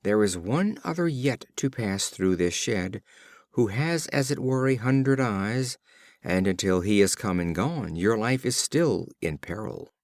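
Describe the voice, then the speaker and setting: A man's voice: fairly low pitch, animated, measured pace, darkish tone, no room sound, clean studio sound. A man voicing a kindly, solemn ox in a storybook fable, speaking earnestly and formally. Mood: concerned warning. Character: kindly, solemn ox giving warning.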